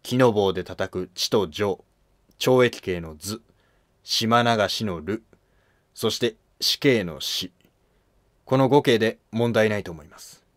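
A man's voice: fairly low pitch, slow, pauses often, dry recording, studio quality. A man playing a deferential, earnest court minister in a scripted historical drama. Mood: respectful, explanatory.

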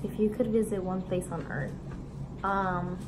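A woman's voice: medium pitch, unhurried, background noise. An eighteen-year-old woman from Arizona, co-hosting a casual Q&A vlog at home with a friend, slangy and playful. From Arizona, USA. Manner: playful, slangy, laughs often. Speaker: co-host of a YouTube channel. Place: living room at home. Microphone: camera in front of two seated girls.

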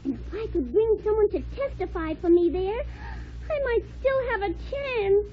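Very high-voiced, eager boy jackknife. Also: expressive, moderate pace. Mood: wistful, hopeful. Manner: boyish, earnest. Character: eager young boy jackknife, wistful and friendly. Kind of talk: 1940s radio acting. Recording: dull, noisy old radio recording.

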